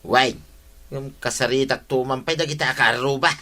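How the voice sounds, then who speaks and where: A man's voice: medium pitch, fairly even intonation, moderate pace, sharp tone, lightly acted. A man with an Ilocano accent playing a blustering, irritated villager in a comedy radio drama.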